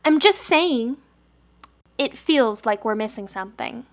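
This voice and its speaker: A tipsy young man voiced by a woman narrator in a story reading. He pitches his voice high and sounds whiny and indignant, with a stagey delivery. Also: expressive, measured pace. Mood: indignant, insistent. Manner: loud stage whisper, indignant.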